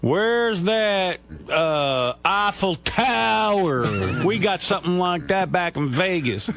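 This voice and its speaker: Man putting on a high-pitched brash American tourist. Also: sing-song, slow, fairly bright tone, full character voice. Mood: brash, oblivious. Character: loud, dim, overweight American tourist abroad.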